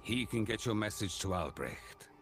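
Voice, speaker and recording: An actor playing a calm, commanding peacekeeper of a camp in a fantasy game, speaking in a measured, firm way. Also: male voice, lowish voice, soft background music. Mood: earnest, welcoming.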